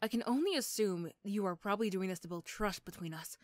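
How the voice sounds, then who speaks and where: A woman's voice: medium pitch, lively intonation, quick pace, no room sound, natural voice. A woman playing a mean but grudgingly sympathetic supervillain in an ASMR roleplay, with a dry, teasing, condescending manner. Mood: dry, skeptical. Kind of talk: ASMR roleplay character acting.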